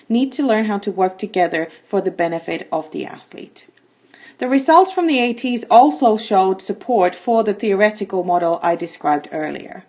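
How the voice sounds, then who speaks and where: A middle-aged woman from Finland, a university lecturer giving a measured, explanatory recorded lecture. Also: mid-range voice.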